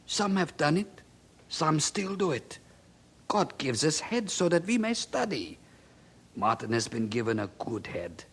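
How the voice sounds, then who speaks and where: A man's voice: a little high, sing-song, a little slow, fairly bright tone, husky, exaggerated voice. A man acting in a radio drama as a hardworking, poor miner father, earnest, hopeful and emphatic in his delivery. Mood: earnest, determined.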